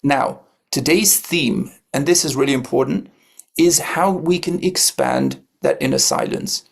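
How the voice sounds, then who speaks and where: A man hosting a live online meditation session, introducing it and welcoming his co-hosts in a calm, measured way. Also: a little high, creaky, slightly rough. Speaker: host.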